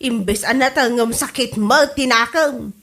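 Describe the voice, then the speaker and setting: A woman's voice: on the high side, a little slow, a bit bright. An actress with an Ilocano accent playing a motherly village storekeeper in a comedy drama podcast. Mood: scolding. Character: motherly village storekeeper, scolding yet obliging. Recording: music under the voice. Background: music.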